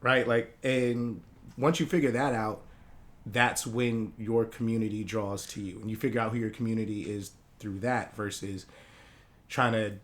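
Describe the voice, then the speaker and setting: A man's voice: lowish voice, steady tone, moderate pace. A young man, a guest artist, chatting casually in a comic shop in a loose, slangy, rambling way. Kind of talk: casual interview chat.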